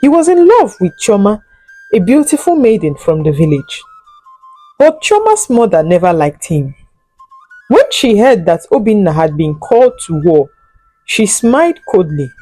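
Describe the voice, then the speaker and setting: A woman narrating a scripted folk tale in a solemn, deliberate and dramatic storytelling manner. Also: medium pitch, sing-song, mellow, faint music behind, poor audio.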